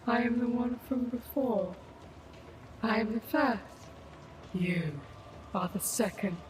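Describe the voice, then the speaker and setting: A woman playing an eerie, knowing monstrous older sister in a dark fantasy audio drama, with a smooth, whispery voice and slow, deliberate delivery. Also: fairly high pitch. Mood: coaxing, solemn. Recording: noisy ambience behind the voice.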